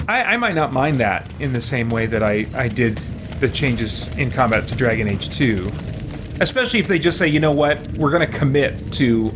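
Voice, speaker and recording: A man's voice: medium pitch, noisy background. A man streaming a video game, chatting casually and thinking aloud in a rambling, musing way. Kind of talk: casual livestream chat over gameplay.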